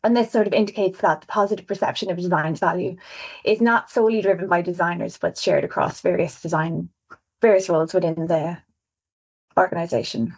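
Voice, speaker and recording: A woman with an Irish accent, a visual designer presenting survey results on a webinar in a quick, chatty way. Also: on the low side, breathy edge. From Ireland. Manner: fast-talking, chatty, self-aware. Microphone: video call. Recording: dull lo-fi webinar audio.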